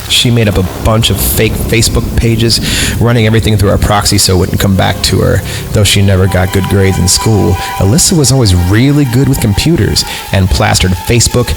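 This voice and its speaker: A man with a fairly deep voice hosts a horror podcast, reading stories aloud. He slips into casual, sarcastic asides in an irreverent way. Music plays clearly behind him. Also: steady tone, fairly quick, poor audio. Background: background music.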